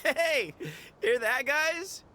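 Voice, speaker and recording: An actor playing a cocky, playful young desert leader in a video game, with a teasing, sly delivery. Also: male voice, very high-pitched, very slow, pushed and bright, a little hiss or hum, exaggerated voice.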